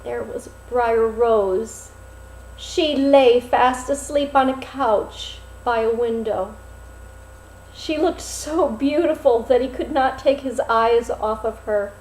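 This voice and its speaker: A woman storyteller reading a fairy tale aloud in an expressive, dramatic way, with deliberate phrasing and pauses. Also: on the high side, roomy sound, a little hiss or hum, low-quality recording.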